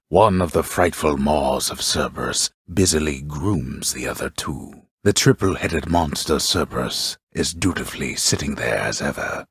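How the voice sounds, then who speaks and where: Very deep, husky grave storyteller. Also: male voice, a bit bright, no room sound, studio quality, heavily acted. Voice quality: gravelly, breathy husk. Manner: grave, theatrical, deliberate literary phrasing. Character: solemn old-fashioned omniscient storyteller with wry gravity. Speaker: video game narrator. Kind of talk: scripted dramatic storytelling narration.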